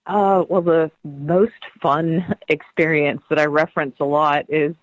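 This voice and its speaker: A woman's voice: deep voice, steady tone, measured pace, no room sound, rough recording. A middle-aged woman guest on a radio interview, speaking earnestly and laughing often as she tells her stories.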